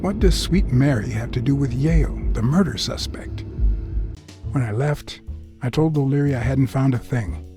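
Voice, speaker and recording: Man acting a terse noir cat detective over loud music. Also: medium pitch, close to an everyday voice. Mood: brooding, wry. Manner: measured, terse, probing. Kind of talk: film noir narration and game dialogue. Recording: music behind the voice.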